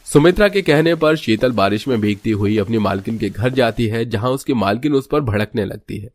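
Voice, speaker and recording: A man's voice: mid-range voice, expressive, moderate pace. A man narrating a scripted cartoon story as its storyteller.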